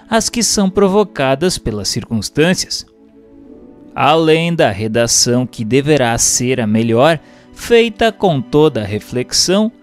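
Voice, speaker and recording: Higher-pitched man narrating, lively intonation. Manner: formal, didactic, measured phrasing. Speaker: narrator reading a spiritist text. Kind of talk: scripted narration, audiobook-like reading.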